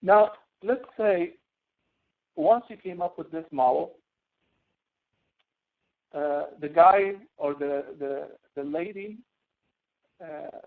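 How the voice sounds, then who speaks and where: Male tutorial instructor, slightly high-pitched, informal and halting, heard through narrow phone-like audio. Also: gravelly. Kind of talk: spreadsheet tutorial, live online lesson.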